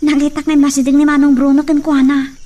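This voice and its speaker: A very high, girlish voice of a nervous young farm daughter acted by a woman in an Ilocano-accented radio play. She sounds set back from the mic, with crickets around her. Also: little pitch movement, fast, mellow, lightly acted. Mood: anxious, questioning. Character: anxious young unmarried daughter of poor farming family. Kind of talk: radio drama acting. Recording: distant microphone sound.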